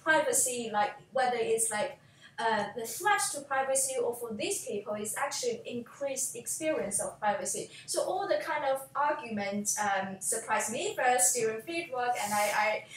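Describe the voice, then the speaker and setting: A woman in her thirties, a native Chinese speaker, presenting her book in halting speech with many fillers. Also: expressive, far from the mic. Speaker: author and researcher. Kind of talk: panel discussion. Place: office with bookshelves. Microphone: distant camera mic. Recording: echoey room from afar, faint rumble.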